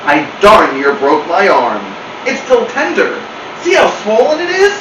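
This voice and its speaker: A man's voice: high voice, huge pitch swings, a little slow, voice pushed up, heavily acted. A man voicing a dramatic, self-pitying house cat telling a tale in an emphatic, theatrical way. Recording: roomy, distant mic, clear hum.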